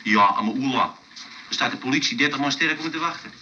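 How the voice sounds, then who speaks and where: An actor playing a pleading, excitable small-time crook in a radio play, stammering and insistent. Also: male voice, medium pitch, a little fast. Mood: insistent, aggrieved. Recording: muffled, heavily noisy radio play recording, distant.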